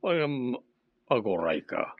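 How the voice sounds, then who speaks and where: A man playing a middle-aged father who reasons calmly in an Ilocano radio drama, with an Ilocano accent. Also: medium pitch, slow, dry recording, narrow, tinny audio, heavily acted. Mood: measured, questioning.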